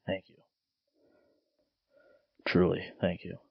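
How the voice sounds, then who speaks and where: Deep-voiced patriotic radio announcer. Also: male voice, monotone, slow, vocal fry, slightly rough, no room sound, lo-fi sound, exaggerated voice. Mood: sincere, grateful. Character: proud, sincere small-station radio announcer, drafted patriot. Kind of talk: audio drama radio sign-off.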